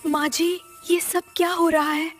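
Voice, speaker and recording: Woman voicing a very high-pitched young daughter-in-law. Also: flat delivery, measured pace, music in the background. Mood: puzzled. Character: Riya, young daughter-in-law, sweet and earnest. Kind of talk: Hindi cartoon story voice acting.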